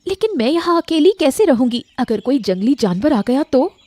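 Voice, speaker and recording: A woman's voice: high voice, big pitch swings, normal speed, dark tone, dry recording. A woman playing a worried, polite mother stranded and pleading earnestly for help in a storybook drama. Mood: anxious, pleading.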